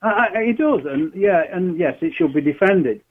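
A man with a Yorkshire accent calling a radio show, speaking in a measured, hesitant way with many fillers. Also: high-pitched, animated, slightly rough. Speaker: radio caller. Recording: tinny phone line.

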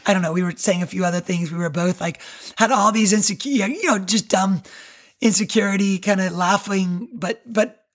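A man, a preacher, talking earnestly about faith on a podcast, with a raspy voice and many fillers. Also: sits high, steady tone, moderate pace. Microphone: podcast microphone.

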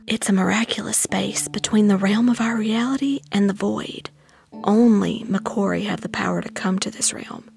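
A woman playing a calm, protective aunt in an audiobook, whispering guidance and folksy endearments to a child. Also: mid-range voice, moderate pace, vocal fry, lightly acted. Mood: soothing, hushed. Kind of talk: dramatized audiobook dialogue.

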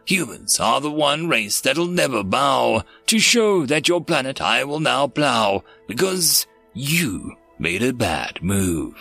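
Forceful, vengeful father, acted by a male narrator. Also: medium pitch, very animated, slow pace, creaky, hardly any pauses, faint music behind, full character voice. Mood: grim fury. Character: vengeful, wounded human father, grimly commanding.

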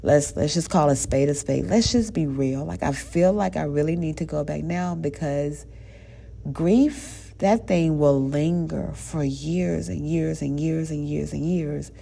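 A woman's voice: deep voice, a little slow, gravelly, warm tone. A woman in her thirties hosting a faith podcast, speaking earnestly and conversationally with a stuffy nose. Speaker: podcast host.

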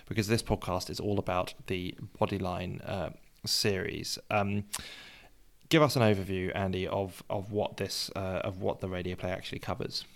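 A man's voice: low voice, creaky. A man co-hosting a podcast, introducing items and posing questions in an informal, conversational style. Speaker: co-host of a cricket history podcast.

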